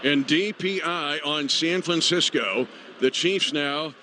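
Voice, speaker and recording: High-voiced man calling football over stadium noise. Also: takes it slowly. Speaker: play-by-play radio announcer. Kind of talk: live football play-by-play commentary. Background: loud stadium ambience.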